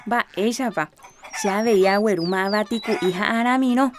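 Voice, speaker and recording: A woman's voice: medium pitch, moderate pace, lightly acted. An actress playing a chatty, plain-spoken village neighbour woman in a radio soap opera. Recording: faint farmyard noise, clucking hens.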